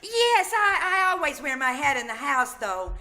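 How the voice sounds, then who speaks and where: A woman playing a sensible, teasing wife in a 1950s-style radio comedy, gently correcting with dry wit. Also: high-pitched, big pitch swings, moderate pace, heavily acted. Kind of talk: 1950s radio comedy acting, live re-enactment.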